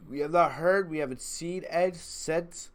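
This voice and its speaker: A man's voice: on the high side, a little slow. A man with a congested, under-the-weather voice giving blunt, emphatic, opinionated commentary as a casual livestream host. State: congested, sick with a cold. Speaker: wrestling review livestream host. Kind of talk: casual live commentary, chatting to viewers.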